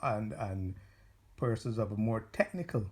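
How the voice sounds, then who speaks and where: A man from Barbados, interviewing informally in halting, deliberate phrases. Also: lowish voice, expressive, a little mellow.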